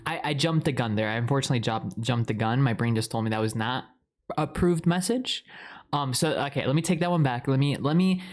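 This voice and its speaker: A man's voice: medium pitch, fairly quick. Rambling, playful man in boyfriend roleplay.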